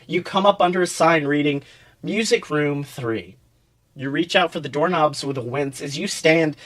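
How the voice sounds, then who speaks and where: Male podcast narrator, somewhat high pitch, theatrical second-person storytelling. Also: a bit bright. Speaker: podcast host reading fan fiction.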